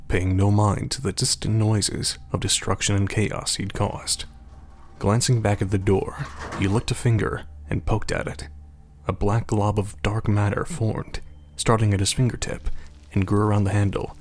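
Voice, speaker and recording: A man narrating an audio drama in the third person in a calm, steady way. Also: fairly low pitch.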